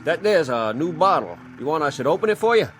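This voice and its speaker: An actor playing a nervous, servile saloon barkeep with folksy western talk in an audio drama. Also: male voice, on the high side, very animated, quick pace, noisy background. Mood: eager to please.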